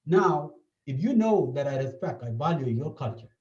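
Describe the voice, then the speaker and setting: A South Sudanese-accented man speaks earnestly, his pitch rising and falling freely as he repeats his points. His voice is fairly high but mellow. The recording is dull and echoey, and he sounds set back from the mic. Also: expressive, normal speed, distant mic. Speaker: therapist. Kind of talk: interview.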